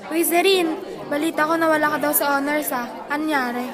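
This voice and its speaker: A woman acts as a casual teen girl from a school clique in an amateur radio drama. Her high voice sits in noisy crowd chatter. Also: a little fast, lightly acted. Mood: nosy.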